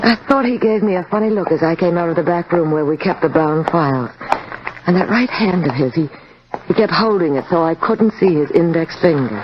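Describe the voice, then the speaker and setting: Dull vintage radio recording, loud music, actress playing timid young woman, earnest, confiding, anxious suspense narration. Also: lowish voice, brisk, lightly acted. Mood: tense, suspenseful. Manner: earnest, confiding, hesitant when flustered. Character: timid, anxious young library clerk narrating her own suspense story. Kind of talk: 1940s-50s radio drama acting.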